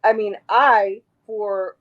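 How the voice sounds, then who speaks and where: A woman with a raspy voice, talking emphatically as an interview guest, rambling and restarting her sentences. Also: mid-range voice, steady tone, slow, dull audio. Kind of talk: casual interview talk.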